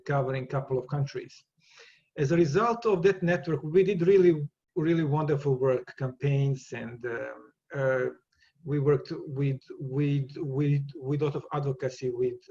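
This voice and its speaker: Serbian-accented man, halting and lo-fi. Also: mid-range voice, steady tone, dark tone. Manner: halting, many repeated words and restarts, laughs easily. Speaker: guest. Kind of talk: casual interview conversation.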